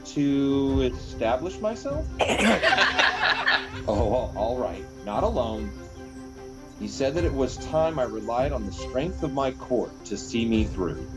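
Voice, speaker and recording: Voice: mid-range voice, animated, takes it slowly, over loud music, rough recording. A performer playing an unsure, earnest young male knight in a fantasy radio play. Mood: unsure, sheepish. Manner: hesitant, earnest.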